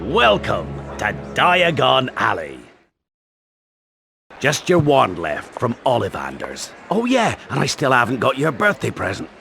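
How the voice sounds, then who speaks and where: A big, bluff giant who acts as a friendly guide in a video game. His voice is gravelly and wildly varied in pitch, delivered slowly with faint music behind. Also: huge pitch swings, bright, forceful tone, full character voice. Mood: hearty, brisk. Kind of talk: scripted video game character acting.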